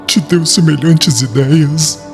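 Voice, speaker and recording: A man acting an anguished, drawn-out young lover. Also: a little high, long reverb tail, faint music behind. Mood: shocked, troubled. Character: anguished young man pleading with his dying beloved. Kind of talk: audiobook drama acting.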